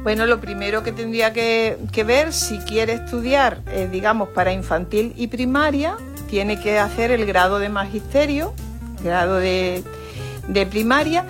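A woman's voice: medium pitch, measured pace. A woman explaining things as a teacher in an informal interview. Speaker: religion teacher. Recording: music playing behind the voice. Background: background music.

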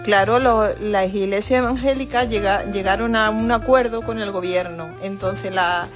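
Woman teacher explaining stuff calmly and earnestly, light music under her. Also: medium pitch, moderate pace. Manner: earnest, steady explaining with fillers like "pues". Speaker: evangelical religion teacher. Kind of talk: explanatory talk on a panel.

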